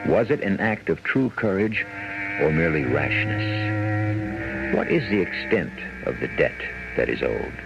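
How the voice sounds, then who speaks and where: Solemn mystery radio host, deep gravelly voice, dramatic, loud music behind, muffled. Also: male voice, animated. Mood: solemn, musing. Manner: measured, dramatic, portentous. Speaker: host and narrator. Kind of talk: scripted radio mystery narration. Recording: muffled vintage radio recording.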